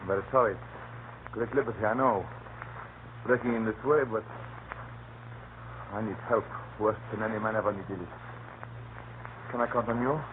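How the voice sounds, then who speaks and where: A man's voice: fairly low pitch, steady tone, normal speed, pauses often, lightly acted. An actor playing a frightened, secretive little man, a doomed informant, speaking in a tense, pleading way in a 1930s radio drama. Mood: anxious pleading. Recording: scratchy, muffled 1930s radio recording.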